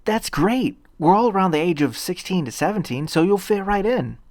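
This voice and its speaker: A man's voice: high voice, expressive, normal speed, a little mellow, dry recording, voice pushed up. Man voicing an earnest, flustered teenage boy hero. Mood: eager, helpful. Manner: nervous, stammering, apologetic. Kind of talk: fan fiction audio reading.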